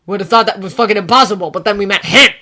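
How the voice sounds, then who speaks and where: A woman voicing a furious teenage boy with an explosive temper, shouting and swearing in a fan fiction reading. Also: medium pitch, very fast.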